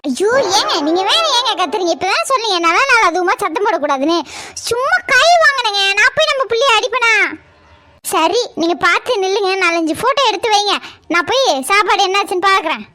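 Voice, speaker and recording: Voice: extremely high pitch, expressive, fairly quick, heavy background noise. A performer voicing a bossy, harried mother who nags and coaxes, in a comedy skit. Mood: protesting. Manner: nagging, coaxing, protesting. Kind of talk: family comedy skit acting.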